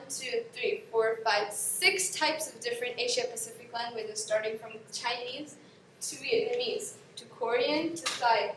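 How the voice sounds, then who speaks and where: A woman's voice: a little high, fairly bright tone, slight background noise. A young woman from Pakistan, a native Urdu speaker, presenting course registration to students in a classroom, chatty and often correcting herself. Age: young adult. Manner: chatty, explains with examples, self-corrects often. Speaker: student guide. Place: classroom in front of a screen. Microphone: far camera. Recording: echoey classroom from far off.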